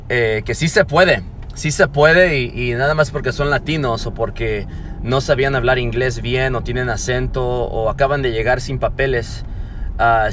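A man's voice: mid-range voice, a bit bright, slight background noise. A man, giving an earnest, rambling motivational talk to a phone camera from inside a parked car, with many fillers and restarts. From Los Angeles, USA. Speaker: motivational vlogger. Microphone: phone at arm's length.

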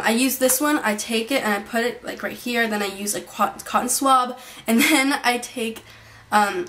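A young woman chats through her monthly favorites in a bright, fairly high voice. She rambles, restarts and fills pauses as she goes. She is recorded on a built-in camera mic in a small bedroom. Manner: chatty, rambling, many fillers and restarts. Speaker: beauty vlogger. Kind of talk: casual monthly favorites vlog. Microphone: built-in camera mic at desk distance.

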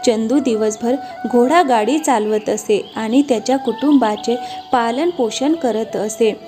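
A woman's voice: fairly high pitch, unhurried, mellow. A woman reading scripted narration for a cartoon moral story. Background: light background music.

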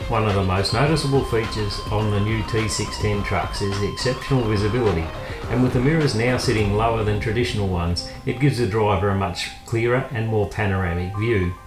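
Male narrator for scripted review voice-over; lowish register, roomy sound. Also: a little fast. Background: guitar music.

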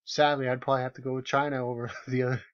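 A man's voice: mid-range voice, flat delivery, very fast. Guy ranting sarcastic over screenshots, kinda muffled lo-fi audio, lots of disbelief. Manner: incredulous, sarcastic, emphatic asides. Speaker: video commentator. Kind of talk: casual commentary over screenshots.

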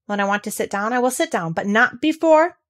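A woman's voice: on the high side, animated, fairly quick, voice pushed up. A woman voicing a proud, imperious young boy giving orders in a storybook. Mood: proud, determined. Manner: commanding, sharp, declarative.

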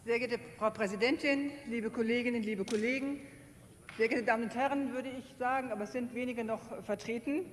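A woman from the Rhineland giving a measured, argumentative speech at the lectern in a parliamentary debate. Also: on the high side, fairly even intonation. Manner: measured, argumentative, occasional self-deprecating asides. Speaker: member of a state parliament. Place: large plenary hall. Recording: echoing plenary hall, faint noise.

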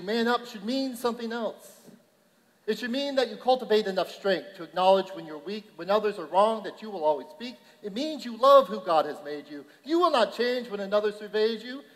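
A man's voice: high voice, expressive, normal speed. A man reciting rhythmic slam poetry as a preacher-poet, punchy, emphatic and declamatory. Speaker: reverend performing slam poetry. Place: large hall at a youth conference. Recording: reverberant large hall.

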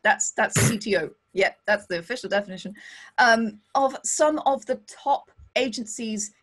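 A woman's voice: a little high, moderate pace, a bit bright, rough recording. A woman in her thirties hosting a livestream interview over a video call, bubbly and playful with frequent jokes. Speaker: podcast host. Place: home studio, indoors.

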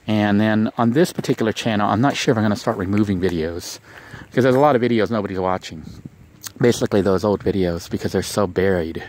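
A man with a fairly low voice, talking to the camera outdoors on an empty lot. He rambles along in an earnest, casual way and circles back to repeat his points. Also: darkish tone. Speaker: vlogger. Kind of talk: casual advice vlog.

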